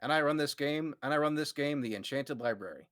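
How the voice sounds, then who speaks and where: A man's voice: on the high side, fairly quick, fairly bright tone, no echo, clean studio sound, pitched above the performer's own voice, close to an everyday voice. A man voicing a laid-back male speedrunner commentator with a casual, offhand introduction.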